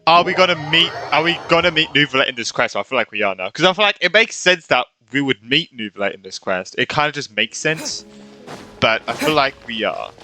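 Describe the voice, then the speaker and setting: A man's voice: on the high side, expressive, a little fast, sharp tone, soft background music. A man streaming a game with casual live reactions, talking in a rambling, repetitive, informal way. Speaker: gaming streamer.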